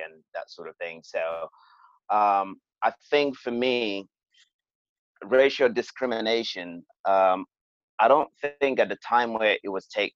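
A man's voice: deep voice, no room sound. A man from Cameroon, a podcast guest, telling his experiences in a hesitant, conversational way with many fillers and restarts. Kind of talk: conversational interview storytelling. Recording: dull, lo-fi sound.

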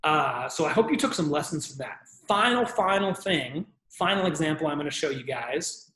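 Man, dating coach, slightly high voice, roomy echo, casual coaching. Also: animated, normal speed, sharp tone. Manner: reads out text messages, casual coaching tone. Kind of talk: talking-head advice video.

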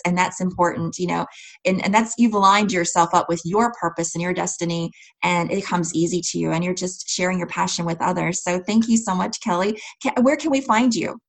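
A woman's voice: lowish voice, vocal fry. A woman hosting a podcast, speaking in an effusive, encouraging way in a conversational interview style. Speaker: podcast host.

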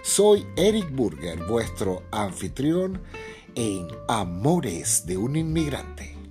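A man's voice: medium pitch, big pitch swings, slow pace, music in the background. A man hosting and narrating a radio soap opera from a script in a theatrical presenter's manner. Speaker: host and narrator.

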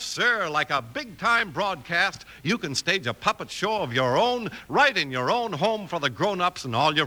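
A man's voice: on the high side, sharp tone. A male 1950s radio announcer with an emphatic, showy delivery for commercials and dramatic narration.

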